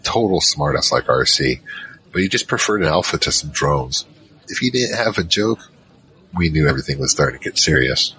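Audio drama narrator: male actor as easygoing troll mage, extremely low pitch, crisp bright edge, rambling slangy storytelling. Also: animated, a little fast, exaggerated voice, rough recording. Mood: wry reminiscing. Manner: casual, rambling, slangy asides. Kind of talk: conversational first-person drama narration.

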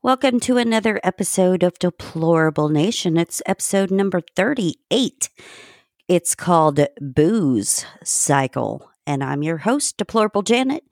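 A woman's voice: lowish voice, lively intonation, unhurried, a little mellow, dry recording, clean studio sound. A woman hosting a casual podcast, chatty and giggly, swearing now and then. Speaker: podcast host.

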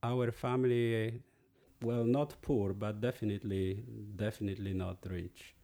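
A man in his sixties from Poland with a Polish accent, a podcast guest slowly and thoughtfully recalling his past. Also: fairly low pitch, fairly even intonation, warm tone. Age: 60s. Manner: measured, thoughtful, pauses and restarts. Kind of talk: slow reflective storytelling.

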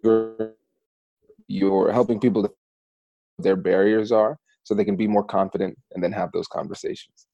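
A man's voice: lowish voice, flat delivery, moderate pace, warm tone, no echo, dull audio, soft background music. A man from Ohio who is a guest on a podcast and explains his ideas in a friendly, thoughtful way. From Ohio, USA. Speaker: podcast guest, negotiation attorney. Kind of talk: podcast interview.

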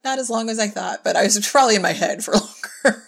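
A woman's voice: medium pitch, quick pace, vocal fry. A woman who directs and writes audio drama, talking casually in a podcast conversation.

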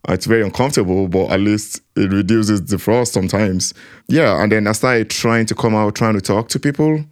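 A man's voice: on the low side, moderate pace. A man giving a personal account in an interview, reflective and acting out his own inner voice.

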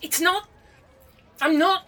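A man voicing a panicky male coder who pleads loudly in a quavering voice, recorded a bit distant from the mic. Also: very high-pitched, slow, pitched above the performer's own voice, heavily acted. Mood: pleading.

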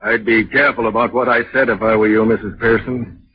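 An actor playing a shrewd police inspector in a 1940s radio drama, questioning with dry, sardonic authority. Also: male voice, lowish voice, level tone, fast talker, lightly acted. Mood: dry, probing. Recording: muffled, noisy 1940s radio recording.

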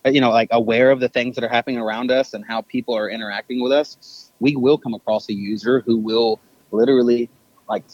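A man's voice: medium pitch, steady tone, fast, a little mellow, dry recording. A man being interviewed over the phone, talking thoughtfully with lots of fillers and self-corrections. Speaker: podcast guest, business owner. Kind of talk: casual interview talk. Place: on a phone call. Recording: dull phone line.

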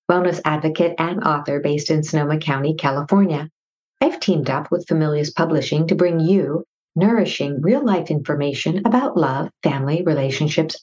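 A woman's voice: lowish voice, a little mellow, muffled recording. A woman hosting a podcast, introducing and interviewing guests in an effusive, affirming manner. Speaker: podcast host.

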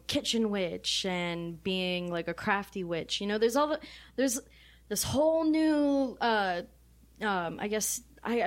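A woman being interviewed about her band, chatting animatedly and rambling with lots of fillers and frequent laughter. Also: mid-range voice. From Mexican American. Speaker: band member, guest. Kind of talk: casual interview chat.

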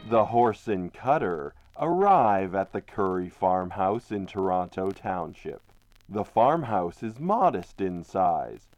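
A man's voice: lowish voice, darkish tone, dry recording, muffled recording. A man narrating a crime radio drama in an ominous, theatrical manner. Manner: ominous, theatrical, addresses characters directly.

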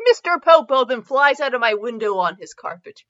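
A woman's voice: on the high side, animated, a little fast, fairly bright tone, dull audio. A woman hosting a comedy fanfiction reading, giggly and mock-dramatic, breaking off into casual asides. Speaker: podcast host reading fanfiction.

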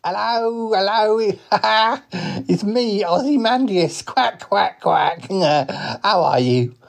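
A man's voice: high voice, animated, extremely slow, gravelly, pitched above the performer's own voice, exaggerated voice. A man voicing a big, cheerful, jokey talking duck who punctuates his talk with quacks in a whimsical audio story. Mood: excited, greeting.

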